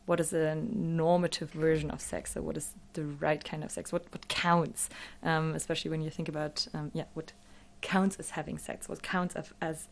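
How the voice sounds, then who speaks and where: A woman's voice: low voice, little pitch movement, normal speed, vocal fry. A woman who is a native German speaker, explaining things as an interview guest in a thoughtful, academic way.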